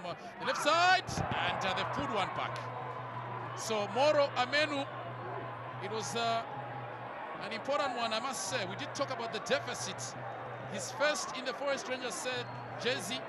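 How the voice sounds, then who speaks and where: Excited male football commentator over crowd. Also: extremely high pitch, heavy background noise. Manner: shouting excitedly over goals. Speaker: play-by-play commentator. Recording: broadcast commentary over loud stadium crowd.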